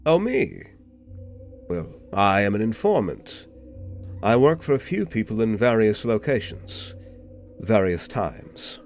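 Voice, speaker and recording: Man acting courteous male informant, refined, world-weary, low-pitched, highly varied intonation, theatrical pauses, fantasy roleplay. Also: very animated, darkish tone, faint music behind, heavily acted. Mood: explanatory.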